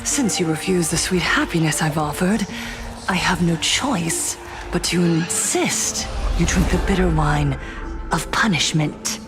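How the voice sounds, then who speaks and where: A performer voicing a calm, menacing tempter in a video game, formal and deliberate. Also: mid-range voice, natural voice. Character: calm, menacing tempter offering sweet happiness. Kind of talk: game villain voice acting. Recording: game music and effects behind.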